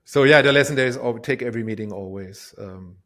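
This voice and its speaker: A man's voice: medium pitch, fast talker, a bit bright. A middle-aged man from Germany with a German accent, talking casually and reflectively as an interview guest, hesitant and self-deprecating as he repeats words. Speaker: interview guest, tech company co-founder.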